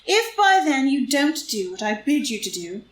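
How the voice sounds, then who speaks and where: Lady playing an arch menacing society schemer, kinda high voice, stage play read in an echoey room. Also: very animated, moderate pace. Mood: triumphant, taunting. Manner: arch, taunting, theatrical delivery. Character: scheming, amused, menacing society woman blackmailer. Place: indoor room, live group reading. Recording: roomy live group reading, faint noise.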